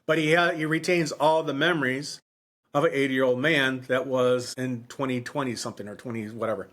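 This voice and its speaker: Halting man in his fifties, casual podcast talk. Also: medium pitch, fairly bright tone. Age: 50s. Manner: halting, circling back and repeating phrases. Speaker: podcast host. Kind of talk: casual book discussion.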